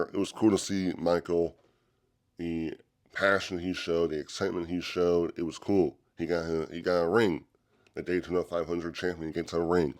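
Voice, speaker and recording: A man's voice: low voice, darkish tone. Stuffy-nosed man hosting a podcast. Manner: rambling, loose delivery with many fillers and restarts. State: congested, stuffy nose from a cold. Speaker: podcast host. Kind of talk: casual solo podcast talk. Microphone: microphone held close to the mouth.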